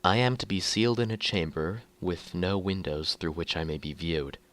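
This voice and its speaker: A man narrating scripted horror fiction in the first person, reading in a formal, measured way like an official document. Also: deep voice, a bit bright.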